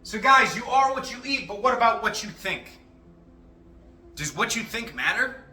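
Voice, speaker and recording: A young man giving a casual, rambling motivational talk to his viewers, cursing freely and animated. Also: high-pitched, normal speed. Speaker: motivational vlogger. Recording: echoey room, faint music.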